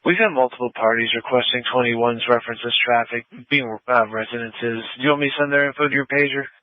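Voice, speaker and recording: A man's voice: medium pitch, fairly even intonation, fast talker. A man handling procedural law enforcement traffic over a two-way radio. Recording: narrowband police dispatch radio audio.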